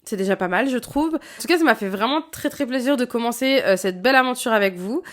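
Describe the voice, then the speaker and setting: A woman in her late twenties talks straight to her viewers in a casual vlog. Her voice sits a little high, and she answers frankly, with plenty of fillers and restarts. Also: a little fast, a bit bright. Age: late 20s. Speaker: YouTuber.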